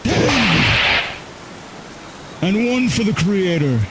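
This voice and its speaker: A man playing a high-voiced, slow, stern commander. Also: sing-song, heavily acted. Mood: stern, commanding. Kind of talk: in-character online roleplay. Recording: loud rain noise over online voice chat.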